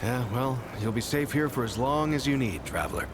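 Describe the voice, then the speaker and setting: Casting: man to play earnest, shy survivor-town mayor for a game; hesitant delivery, mid-pitched, expressive melody. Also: background noise, close to an everyday voice. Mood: friendly, reassuring. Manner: hesitant, stammering, halting. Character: earnest, bashful male mayor of a survivor settlement. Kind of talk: naturalistic video game dialogue acting.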